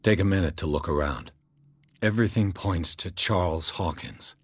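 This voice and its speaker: Composed, defiant male intruder, deep and heavily husky, for a dramatic game. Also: moderate pace, dry recording. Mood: grave, insistent.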